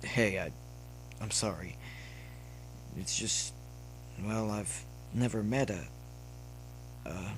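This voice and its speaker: Man as awkward, halting young stallion, low voice. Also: a little hiss or hum, low-quality recording. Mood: awkward, apologetic. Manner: halting, droning, deadpan. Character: cynical, awkward young male pony college student.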